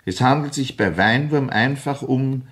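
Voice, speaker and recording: An actor playing a stern, appalled police inspector with an Austrian accent, formal and reproachful, in a radio crime drama. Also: male voice, medium pitch, unhurried, a bit bright, close to an everyday voice. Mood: stern, probing.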